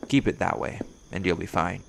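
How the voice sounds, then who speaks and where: A man's voice: deep voice, moderate pace, vocal fry, a little hiss or hum. A man voicing a brash, menacing werewolf in an audio roleplay, speaking in a blunt, curt, sardonic way. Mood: cold, threatening. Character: brash, menacing male werewolf hunting his quarry. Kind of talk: scripted audio roleplay acting.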